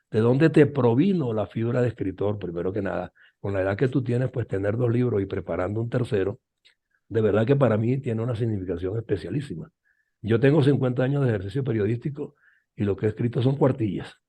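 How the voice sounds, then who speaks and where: An older man hosting an interview as a journalist, speaking formally and measured, with some hesitant fillers. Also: mid-range voice, dark tone. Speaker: journalist host.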